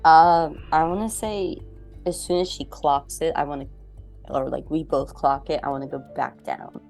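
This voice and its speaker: A woman's voice: low voice, steady tone, normal speed, vocal fry, a little mellow. A woman chatting casually as a player in a tabletop roleplaying game. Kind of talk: casual game chat and in-character talk. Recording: background music under the voice. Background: music.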